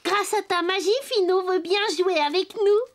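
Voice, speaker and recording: A woman's voice: very high-pitched, steady tone, moderate pace, very noisy. A woman voicing a cheerful little wooden puppet boy in a children's cartoon dub, friendly and childlike. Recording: loud music behind the voice.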